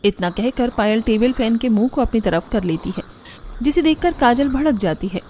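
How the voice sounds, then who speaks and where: High-pitched woman narrating a cartoon story. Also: lively intonation, moderate pace, darkish tone, a little hiss or hum.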